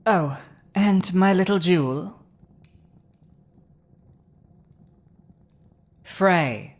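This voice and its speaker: Casting: female actor as villainous dragon queen, lowish register, very unhurried, haughty and teasing, for intimate roleplay audio drama. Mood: tender, hesitant. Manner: languid, theatrical, haughty delivery with dramatic pauses.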